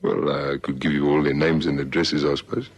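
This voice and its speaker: An actor playing a wily, unruffled ex-convict with a smooth, folksy, evasive way of talking in a vintage radio crime drama. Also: male voice, extremely low pitch, little pitch movement, a little fast. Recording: vintage radio recording, faint noise.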